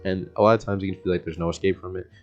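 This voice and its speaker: A man's voice: low-pitched, steady tone, racing, lo-fi sound, faint music behind. A young American man giving a casual self-help monologue in a laid-back, slangy, rambling way. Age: young adult. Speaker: vlogger.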